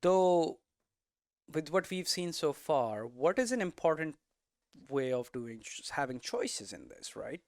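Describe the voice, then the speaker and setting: A man giving an informal explanatory lesson as a presenter, posing questions and answering them himself in halting, emphatic phrases. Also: a little high, big pitch swings, dry recording, studio quality. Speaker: presenter of a game development explainer series.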